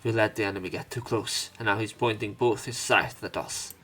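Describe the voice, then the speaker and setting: Low-voiced man narrating a visual novel. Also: steady tone, brisk, fairly bright tone, faint music behind. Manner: acts out lines and exclamations. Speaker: let's play host. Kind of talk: reading visual novel narration aloud dramatically, with commentary.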